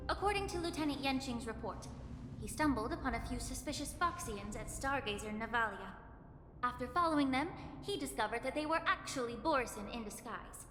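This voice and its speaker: A woman voicing a composed, scholarly young alchemist in a video game, explaining things in a measured, formal way. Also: high-pitched, expressive, fairly bright tone. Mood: composed, analytical. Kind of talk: scripted video game voice acting. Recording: echoey reverb, music behind.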